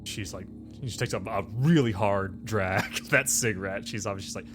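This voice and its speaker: A man's voice: on the low side, moderate pace, music in the background. A man as game master, improvising casual narration of a scene at a tabletop game.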